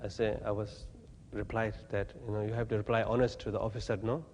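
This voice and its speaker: A man from Tibet, giving a Buddhist teaching in halting, plain English with gentle humor. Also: lowish voice, little pitch movement, in a large hall, slight background noise. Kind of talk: dharma talk to an audience. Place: talk venue with audience.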